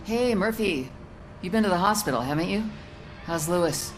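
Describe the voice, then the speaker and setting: Actress as level-headed female cop, lowish voice, casual, calming, game dialogue, faint music. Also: normal speed, natural voice, rough recording. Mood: concerned. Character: level-headed, friendly policewoman. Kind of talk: video game voice acting.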